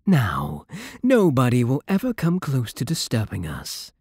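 Breathy, possessive British vampire king, acted by a man. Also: mid-range voice, wildly swooping pitch, dry recording, exaggerated voice. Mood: coolly threatening. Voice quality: breathy, soft. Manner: slow, menacing, haughty purring. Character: possessive, regal male vampire king, condescending and seductive. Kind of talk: ASMR roleplay.

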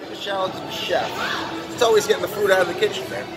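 Upbeat chef. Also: male voice, sits high, steady tone, fast talker. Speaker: restaurant chef. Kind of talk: casual interview. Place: large busy restaurant indoors. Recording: loud background music.

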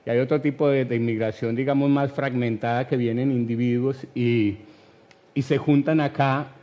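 A man in his fifties with a Colombian accent, answering thoughtfully and haltingly as an interview guest, often adding tag questions. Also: medium pitch, steady tone, dull audio. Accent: Colombian, Medellín area. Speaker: guest, writer. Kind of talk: reflective conversational interview answers. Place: TV studio.

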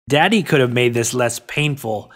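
A man's voice: mid-range voice, normal speed, fairly bright tone, close to an everyday voice. An actor playing a sadistic, domineering male crime boss who gloats coldly in a horror comic dub. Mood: gloating menace.

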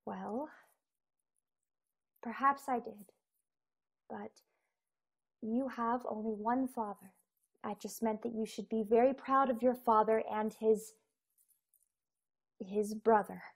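A woman in her twenties acting a gentle mother, once a starship engineer, in a radio play over a video call. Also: fairly high pitch, steady tone, slow, pauses often, breathy edge, exaggerated voice. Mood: hushed, reluctant.

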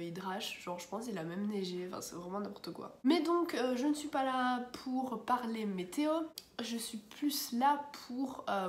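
Young belgian girl w a blocked nose vlogging from her bedroom, lots of euh, roomy sound, faint hum behind. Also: medium pitch. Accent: Belgian French. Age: young adult. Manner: chatty, rambling with many fillers. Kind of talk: casual chat to camera. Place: bedroom at home, indoors.

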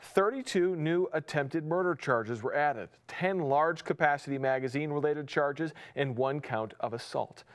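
A man in his forties anchoring a morning news show in a TV studio, reading stories briskly with chatty asides, on a lapel mic. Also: a little high. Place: TV studio with city-skyline backdrop.

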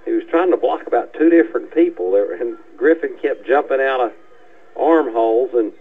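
A man's voice: medium pitch, normal speed, husky, phone-quality sound. A man giving folksy, colorful, conversational football commentary as a radio analyst on a headset. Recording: band-limited radio broadcast sound.

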